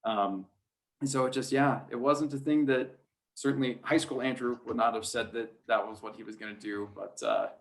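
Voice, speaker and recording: A man speaking as a guest in a casual interview, telling things in an informal, animated way. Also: medium pitch, normal speed. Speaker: guest, sports play-by-play announcer.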